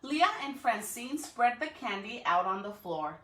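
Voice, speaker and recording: A woman's voice: fairly high pitch, big pitch swings, a little slow, a bit bright. A woman speaking expressively to young students as a school educator doing a storybook read-aloud. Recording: echoey room.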